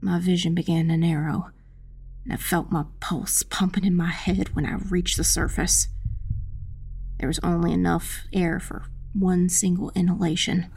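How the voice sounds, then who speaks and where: A woman playing a determined witch who narrates her own dark fantasy audiobook tale in a measured, dramatic, husky and creaky voice. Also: lowish voice. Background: music.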